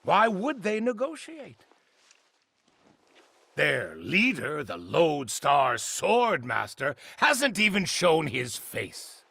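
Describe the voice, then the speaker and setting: A gruff, proud elder of a martial sect for a game. His voice is husky and creaky, slow but animated in pitch. He sounds blunt, weighty and sceptical. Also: no echo, heavily acted. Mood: sceptical, scornful.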